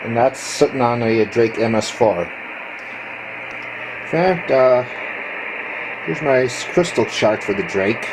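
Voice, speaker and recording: A man giving a casual, hands-on video walkthrough as a hobbyist, explaining technical gear in a matter-of-fact, halting way into a handheld camera. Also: medium pitch, animated. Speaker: ham radio hobbyist showing his equipment. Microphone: handheld camera microphone. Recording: clearly noisy handheld camera recording.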